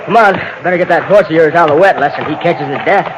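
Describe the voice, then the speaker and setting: An actor playing an easygoing, folksy young frontier farmhand with a rural Western accent in an old radio drama. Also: male voice, a little high, fast talker, lightly acted. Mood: offhand, reassuring. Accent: American rural Western. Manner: folksy, offhand. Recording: noisy old radio recording.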